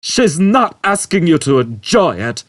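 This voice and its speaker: Man doing a brash, irritable space rogue, pitched up. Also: very animated, measured pace, no room sound. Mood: annoyed. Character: brash, irritable, conceited male space fugitive.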